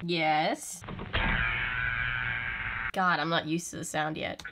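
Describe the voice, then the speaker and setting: Sarcastic young woman with a lowish voice. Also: fairly even intonation, takes it slowly, heavy background noise. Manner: mock exasperation, sarcastic asides. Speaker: video host reacting to an old film. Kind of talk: casual comedic reaction commentary.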